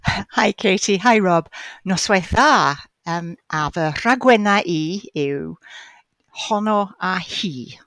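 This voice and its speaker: A woman's voice: on the low side, animated, slow pace, fairly bright tone, dry recording. An older woman from Wales, a guest in a podcast interview, chatty and self-deprecating, often stammering and restarting her phrases. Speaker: guest, medical educator.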